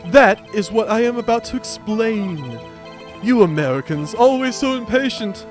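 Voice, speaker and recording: A man's voice: high-pitched, expressive, normal speed, soft background music, pitched above the performer's own voice. A man voicing a smug, pompous businessman with an affected, flowery manner, as in an amateur video game dub. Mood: smug, amused. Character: pompous, smug, theatrical male businessman, condescending witness.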